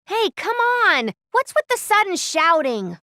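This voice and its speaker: A woman's voice: extremely high pitch, very animated, unhurried, sharp tone, no room sound, clean studio sound, full character voice. A woman voicing a brash, protective young girl in an anime game, blunt and exasperated. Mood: impatient.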